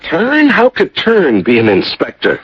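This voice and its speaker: Raspy, disbelieving fleet admiral. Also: male voice, on the high side, wildly swooping pitch, unhurried, exaggerated voice. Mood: incredulous. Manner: incredulous, defensive. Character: proud, disbelieving male fleet admiral of future. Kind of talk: radio drama acting. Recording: muffled old radio recording.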